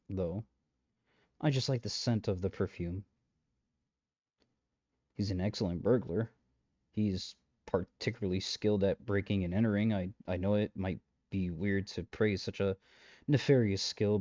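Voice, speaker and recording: A lowish, mellow male voice acted by a man plays a chatty, exasperated matchmaker in a comedic audio drama. He speaks directly to the listener in wry, conversational asides full of dry understatement. The recording is close but slightly dull. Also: no room sound. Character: exasperated, chatty male matchmaker peddling possessive lovestruck suitors.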